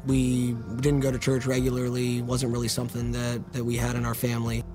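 A man tells his family's story in an even, flat delivery, relaxed and conversational. Music plays clearly under his voice. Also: medium pitch, fairly quick. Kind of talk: testimony interview.

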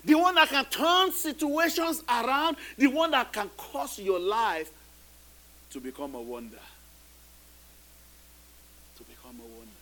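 High bright-voiced preaching man. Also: big pitch swings, measured pace, long pauses, slight background noise. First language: not English. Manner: preaching with rising emphatic repetitions. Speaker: pastor. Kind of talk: sermon.

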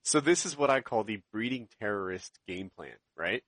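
A man's voice: on the low side, lively intonation, moderate pace, fairly bright tone, no room sound. A sardonic man chiming in with pointed remarks in a casual podcast chat.

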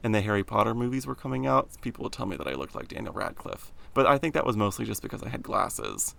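Deep gravelly deadpan young man. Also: fast. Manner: deadpan, sarcastic, monotone. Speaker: podcast co-host. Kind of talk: casual chat.

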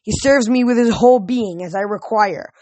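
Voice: medium pitch, moderate pace, a little mellow, no room sound, lightly acted. A young reader voicing a menacing, imperious titan lord who taunts a hero in an audiobook-style story. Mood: menacing, proud.

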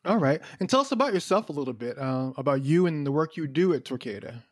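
Radio host, male, mid-pitched, easy conversational questioning, close dry studio sound. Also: lively intonation, fast, dry recording. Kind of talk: radio interview.

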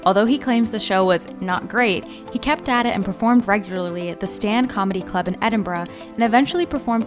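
A woman with a British accent speaking as the host of an interview podcast. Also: medium pitch. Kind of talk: scripted introduction and casual interview chat.

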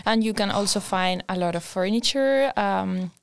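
A woman's voice: medium pitch, normal speed, vocal fry, smooth, no room sound. Young woman from Spain, native Spanish, easygoing guest, dry close sound. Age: young adult. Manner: laughs easily, hesitant phrasing. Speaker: guest, design master's student. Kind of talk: casual podcast chat.

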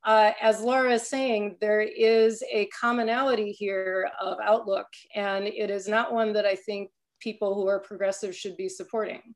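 A woman speaks as a panel guest in a mid-pitched voice. She argues her points with emphasis and energy. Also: fairly even intonation, normal speed. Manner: impassioned, emphatic. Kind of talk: panel discussion.

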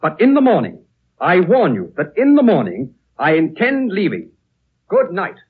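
Agitated traveler, highish swooping voice. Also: male voice, wildly swooping pitch, husky, full character voice. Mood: curt, warning. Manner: halting, insistent, repeating himself. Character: impatient, agitated Western traveler, man anxious to leave. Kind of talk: 1930s radio drama acting. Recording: old tinny radio recording, music behind.

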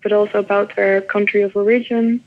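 Young Dutch woman, flat voice on a tinny line. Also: medium pitch, brisk. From Netherlands. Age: young adult. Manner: hesitant, many fillers. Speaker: doctoral researcher. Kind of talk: interview answers.

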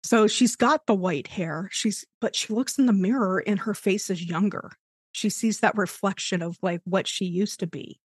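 A woman's voice: medium pitch, moderate pace, breathy edge, no echo. A woman in her forties chatting on a podcast in a rambling, reflective way, with lots of "you know.". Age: 40s. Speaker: podcast co-host and tarot deck designer. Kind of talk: casual chat.

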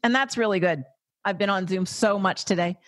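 A woman's voice: medium pitch, a little fast, no room sound, studio quality. Effusive chatty woman podcast host. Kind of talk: casual interview chat.

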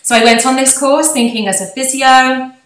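A woman's voice: high-pitched, steady tone, normal speed, roomy sound, slight background noise. A woman in her forties with a Liverpool accent, chatting as a guest on a podcast interview and laughing often. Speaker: guest, physiotherapist.

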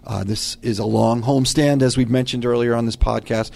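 A man's voice: mid-range voice, fairly even intonation, brisk, gravelly, darkish tone. A man chatting casually as a podcast co-host, with a wry, teasing way of talking. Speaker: podcast co-host, team news director. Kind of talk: casual chat.

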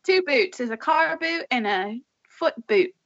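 Co-host: playful woman, slightly high voice, dry and dull audio. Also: expressive, measured pace, fairly bright tone, no room sound. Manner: playful, jokey. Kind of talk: casual chat.

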